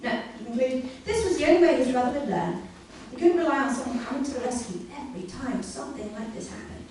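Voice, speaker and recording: A woman performing a scripted comic story live on stage, with a deliberate mock bedtime-story delivery. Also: high voice, lively intonation, darkish tone. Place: live comedy venue, indoors. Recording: very echoey room, distant mic, faint noise.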